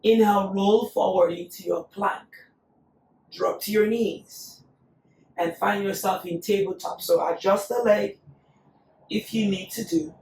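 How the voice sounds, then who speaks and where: A woman teaching a yoga class, calmly cueing breath and poses, her voice still recovering after being lost. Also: mid-range voice, a little slow, long pauses, a little hiss or hum. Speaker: yoga instructor. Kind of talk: guided yoga class instruction. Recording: echoey room, voice from a distance.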